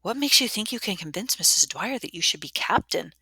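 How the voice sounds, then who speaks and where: A woman's voice: mid-range voice, animated, fairly quick, pushed and bright, husky, dry recording, voice pushed up, heavily acted. A woman voicing a doubting older schoolgirl, blunt and challenging, in a storybook reading. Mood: skeptical. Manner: blunt, questioning.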